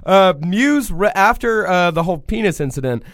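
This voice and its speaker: A man co-hosting a comedy podcast, recounting true crime casually in a halting, matter-of-fact way. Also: high-pitched, lively intonation, fairly bright tone, no echo, studio quality.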